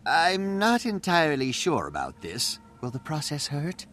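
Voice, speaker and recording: A man's voice: fairly high pitch, huge pitch swings, unhurried, fairly bright tone, a little hiss or hum, full character voice. A man voicing an anxious, earnest young gnomish lab assistant in a video game, pleading and deferential. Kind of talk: video game cutscene voice acting.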